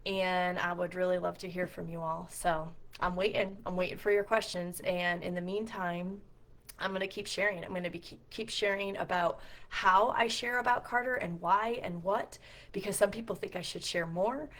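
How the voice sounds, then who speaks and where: Middle-aged woman with a scratchy, lowish voice. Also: steady tone, moderate pace, fairly bright tone. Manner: earnest, direct address with questions to viewers. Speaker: homeschool community founder and co-leader. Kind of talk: casual direct-to-camera vlog talk.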